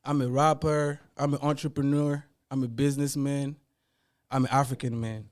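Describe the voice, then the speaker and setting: A man from Mali in his thirties, guesting as a rapper, chats in a laid-back, easygoing way and often lets his sentences fade out quietly. His voice sits at an ordinary mid pitch. The recording is close and dry. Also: flat delivery, moderate pace, no room sound. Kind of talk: casual interview chat.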